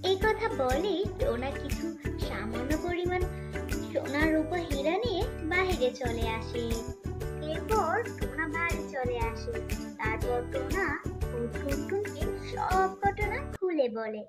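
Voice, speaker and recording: A woman's voice: high-pitched, expressive, a little slow. A woman narrating a scripted children's cartoon story as the storyteller. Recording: music playing behind voice.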